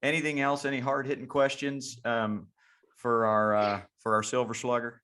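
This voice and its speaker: A man's voice: mid-range voice, little pitch movement, normal speed, bright, forceful tone, clear, resonant tone. A man hosting a casual interview and coaching kids on the side, rambling with many restarts and repeated words. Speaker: podcast host and youth baseball coach.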